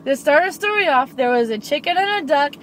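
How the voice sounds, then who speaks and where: A woman's voice: high-pitched, animated, fairly quick. A young woman making up a playful bedtime story in a silly, giggly manner, sitting in a car. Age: young adult. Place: inside a parked car. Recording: noisy car interior, vehicle ambience.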